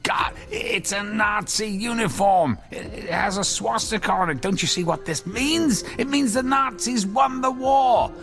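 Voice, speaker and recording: An actor playing an earnest, anxious inventor husband in an audio drama, stammering and agitated. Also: male voice, high voice, measured pace, gravelly, few pauses, a bit bright, faint music behind, exaggerated voice. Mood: horrified.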